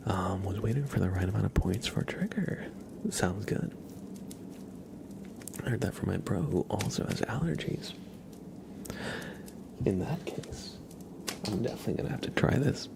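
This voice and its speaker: A man hosting an ASMR livestream, chatting in a hushed, unhurried, soft-spoken whisper close to the microphone. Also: on the low side, expressive, gravelly, background noise.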